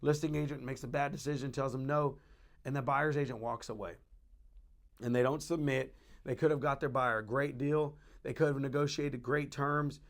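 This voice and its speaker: A man speaking as a real estate coach, talking straight to fellow agents in a blunt, emphatic and informal way. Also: medium pitch. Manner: blunt, emphatic, repeats points; informal, colloquial.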